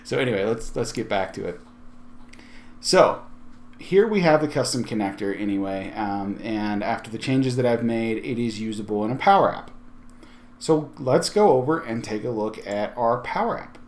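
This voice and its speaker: Man giving an informal software tutorial. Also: medium pitch, lively intonation, moderate pace. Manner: conversational, explanatory, thinking aloud. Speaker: tutorial host.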